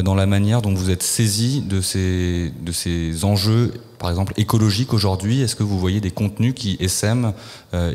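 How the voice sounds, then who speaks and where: A man hosting a live radio interview at a festival, speaking in a measured, formal way with some hesitant fillers. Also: sits low, darkish tone, big-room sound. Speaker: radio host. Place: festival venue, live broadcast.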